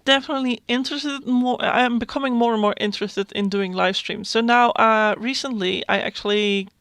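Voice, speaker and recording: Woman, Dutch accent, hesitant, smooth clear tone, dry close sound. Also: a little high, fairly even intonation, brisk, no room sound. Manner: hesitant, many fillers and restarts; self-conscious, soft-spoken. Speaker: YouTube Sims builder. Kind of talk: casual voiceover chat. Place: home.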